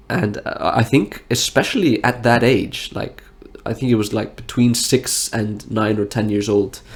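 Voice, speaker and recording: A nasal-sounding man with the flu and a stuffy nose, talking frankly and reflectively in a rambling personal monologue. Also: mid-range voice. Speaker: health vlogger.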